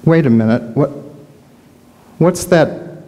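A man's voice: a little high, slow pace, dark tone. A man voicing a skittish, fearful sheep. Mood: anxious. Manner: wondering first-person monologue. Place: large church sanctuary, indoors. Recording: long church reverb.

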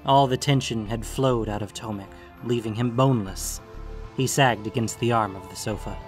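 A man reads scripted fantasy fiction as the narrator. His voice sits at a medium pitch, with faint music underneath. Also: normal speed.

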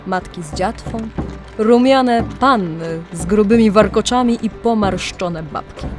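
A woman narrating a scripted audio drama legend in a measured, literary storytelling manner, with deliberate pauses. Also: mid-range voice. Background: music.

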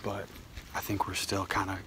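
Excitable young man exploring an abandoned mall. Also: sits low, normal speed. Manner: excited, swears casually, says dude a lot. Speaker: vlogger. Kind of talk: casual exploring vlog chat. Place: abandoned mall, large indoor space. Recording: loud ambient noise.

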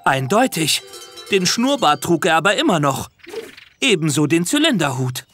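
Female storyteller for children's audio tale, low register, very varied intonation, music underneath. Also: big pitch swings, normal speed, fairly bright tone. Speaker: narrator.

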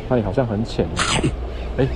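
Young Taiwanese-accented man, mid-pitch, loose chatty fishing vlogger talking to himself outdoors; clear river noise under the voice. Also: a little fast, highs cut off. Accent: Taiwanese Mandarin. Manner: chatty, muttering to himself, bursts of excitement at catches. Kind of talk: casual self-narrated fishing vlog.

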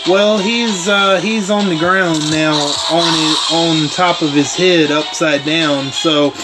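Slow-talking man, slightly hoarse. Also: a little high, fairly even intonation, low-quality recording. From eastern United States. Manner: rambling, laid-back storytelling. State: losing his voice, clearing throat. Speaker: gaming channel host. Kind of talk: casual chat over gameplay. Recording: game music loud behind voice.

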